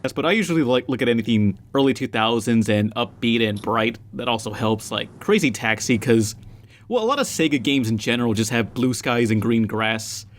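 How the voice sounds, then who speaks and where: A man hosts a podcast in loose, casual chat. His pitch is average for a man. Faint noise and clicks sit behind his voice. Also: animated, a little fast. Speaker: podcast host.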